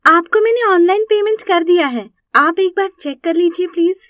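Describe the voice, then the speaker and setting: A woman as a young heroine, sweet, polite, heartfelt, raised high pitch, dry studio sound. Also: moderate pace, a bit bright, dry recording. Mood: grateful. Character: sweet, earnest rich young woman in love. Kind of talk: animated moral story dialogue.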